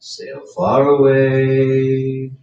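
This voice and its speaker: A man playing a wistful, questioning character in a live staged play reading, with drawn-out phrases. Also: mid-range voice, completely flat delivery, a little mellow, highs cut off. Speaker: stage actor. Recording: roomy, distant mic, faint room noise.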